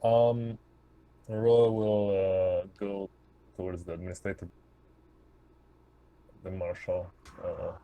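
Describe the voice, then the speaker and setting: A man's voice: on the low side, completely flat delivery, slow pace, long pauses, no room sound. A man playing a tabletop game, stating his moves in casual, blunt fashion over a muffled microphone. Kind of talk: casual game chat, stating character actions. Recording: dull muffled microphone with background noise.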